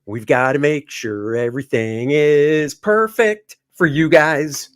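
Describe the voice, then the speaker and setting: Host: a chatty, joking man with a rather high voice, rambling with fillers. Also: slow pace, clean tone. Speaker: comic artist co-host. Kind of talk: casual livestream chat.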